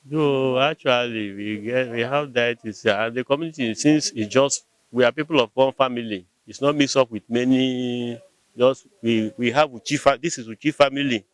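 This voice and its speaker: A man's voice: medium pitch, normal speed, poor audio. A Nigerian man answering interview questions about his community, hesitant, often repeating words while explaining patiently. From Nigeria, Rivers State. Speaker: local community member interviewed. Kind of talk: conversational answers.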